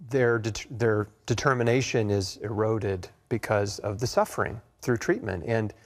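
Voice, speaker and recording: A man speaking as an interview guest, explaining things in an earnest, plain-spoken way. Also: lowish voice. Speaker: interview guest, health author. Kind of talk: explanatory talk show interview.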